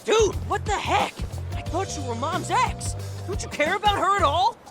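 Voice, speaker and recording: A woman's voice: very high-pitched, lively intonation, moderate pace. A woman with an American accent voicing a cocky, scrappy teenage boy with slangy speech in a video game dub. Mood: insistent. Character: brash, scrappy teenage boy with a smart mouth. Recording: music behind the voice. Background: game music.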